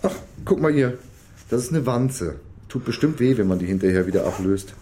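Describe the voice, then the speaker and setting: An actor playing a naive-seeming, quietly sly amateur sleuth in a German radio crime drama, talking in a halting, colloquial way. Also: male voice, medium pitch, lively intonation, noisy background, natural voice. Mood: mock-innocent. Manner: colloquial, halting, mock-casual.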